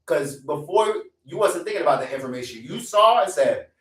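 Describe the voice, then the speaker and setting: A man's voice: fairly high pitch, animated, a little fast, fairly bright tone, recorded from a distance. A man in his twenties hosting a live stream in a casual, profane rant that repeats lines for emphasis. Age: 20s. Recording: echoey room, away from mic.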